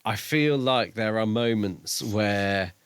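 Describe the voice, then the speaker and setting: A man's voice: on the low side, slow pace, clean tone, dry recording. A man co-hosting a podcast, discussing a book casually in a questioning, mildly pedantic way. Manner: questioning, self-admittedly pedantic. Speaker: podcast co-host.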